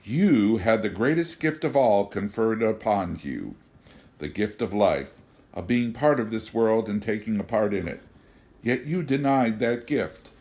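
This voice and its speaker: A man voicing a mysterious little stranger who speaks slowly and wryly with measured calm. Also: lowish voice. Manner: slow, measured, wry.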